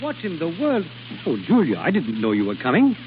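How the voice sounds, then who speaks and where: An actor playing a suave, exasperated gentleman guardian in an old radio drama, controlled but stern. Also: on the high side, huge pitch swings, brisk. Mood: startled, cautioning. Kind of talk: 1940s radio acting. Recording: very noisy, narrow old radio broadcast.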